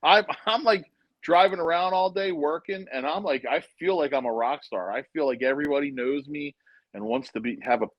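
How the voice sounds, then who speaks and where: Middle-aged male guest for a chat show. Slightly higher pitch, dry recording, loose joking storyteller. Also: a little fast, dry recording, highs cut off. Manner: joking, rambling storyteller, speeds up when excited.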